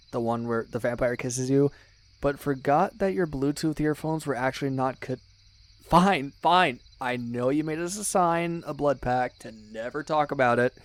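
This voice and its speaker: A man acts out a young guy as the listener's loyal best buddy in an audio roleplay. He has a medium-pitched voice and talks in slangy, teasing banter, stumbling now and then as he goes. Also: close to an everyday voice. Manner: chummy, teasing, slangy, with hesitations and exclamations. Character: teasing, loyal young male best friend, excitable ASMR fan. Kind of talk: ASMR roleplay, one-sided casual banter with the listener.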